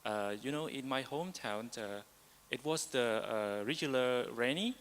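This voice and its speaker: Man, halting delivery, average pitch, long hall reverb. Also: a bit bright. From Cambodia. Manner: halting, many hesitations. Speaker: embassy delegate. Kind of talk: panel sharing. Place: large conference hall.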